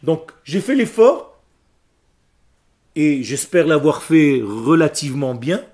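A man's voice: a little high, very animated, takes it slowly, a little mellow. Emphatic man teaching a class. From Israel. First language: Hebrew. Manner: emphatic, questions the class, explains word by word. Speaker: Hebrew and Torah teacher.

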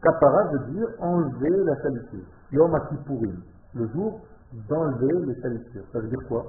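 A man from Israel, speaking French as a learned language and giving a passionate religious lesson to a small group, shifting from quiet talk to loud exclamations. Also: medium pitch, animated, moderate pace. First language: Hebrew. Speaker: religious teacher. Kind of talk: Torah lesson to a live group. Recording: tinny phone-like echoey room.

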